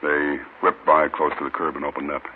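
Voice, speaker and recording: Deferential patrol officer, vintage radio police drama. Deep register, factual delivery, dull archive sound. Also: male voice, flat delivery, a little fast, a little hiss or hum. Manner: factual, respectful. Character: dutiful, deferential male patrol policeman reporting a shooting. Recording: muffled old radio recording.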